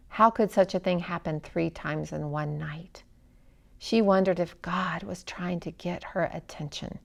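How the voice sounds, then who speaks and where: A woman reading a story aloud as scripted narration, in an expressive, dramatic way with hushed phrase endings. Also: fairly low pitch, gravelly.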